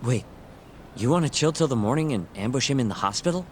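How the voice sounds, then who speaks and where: An actor playing a brash, hot-tempered younger sidekick in an English video game dub, cocky, blunt and slangy. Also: male voice, mid-range voice, expressive, quick pace, a little mellow, a little hiss or hum, close to an everyday voice. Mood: eager, casual.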